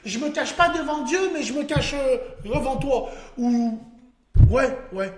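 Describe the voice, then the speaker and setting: A man's voice: extremely high pitch, unhurried, slight background noise. A man hosting a live call-in stream, friendly with callers, calling them brother and dropping in Arabic phrases. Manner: friendly, calls people brother, peppers speech with Arabic phrases. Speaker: host. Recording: echoey room sound.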